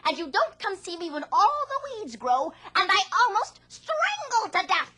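A woman voicing a talking yam that scolds a farmer in a high, complaining voice. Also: huge pitch swings, moderate pace, pushed and bright, heavily acted. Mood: indignant. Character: indignant male yam, shrill and complaining.

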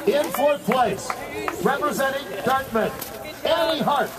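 A man's voice: very high-pitched, lively intonation, unhurried. A man announcing an awards ceremony formally, projecting and stressing names with emphasis. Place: outdoors at a snowy ski venue. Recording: very noisy outdoor recording, loud crowd. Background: loud crowd and ambient noise.

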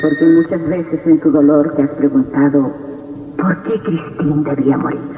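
An actor playing Death as a solemn, cryptic reaper in a radio horror drama, pronouncing each phrase gravely. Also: male voice, a little high, fairly even intonation, normal speed, far from the mic, lightly acted. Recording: echoing hall, muffled, faintly noisy old radio.

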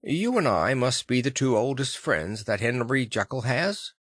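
Audiobook role: worried, courteous older gentleman lawyer read by a man, formal diction, mid pitch, dry sound. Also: very animated, unhurried, breathy edge, dry recording, exaggerated voice. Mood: probing, polite.

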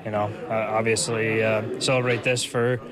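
Flat-toned young man over loud crowd. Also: fairly low pitch, normal speed. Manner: humble, flat, filler-heavy. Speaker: baseball player. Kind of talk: postgame clubhouse interview. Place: team clubhouse. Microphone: handheld reporters' microphones.

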